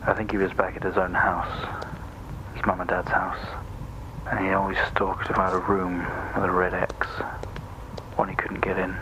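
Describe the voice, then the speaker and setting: An actor playing a measured, subdued male therapist in a video game, dictating session notes in a creaky, breathy murmur over noise. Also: sits low, level tone, close to an everyday voice. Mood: subdued, clinical. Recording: noisy game audio recording.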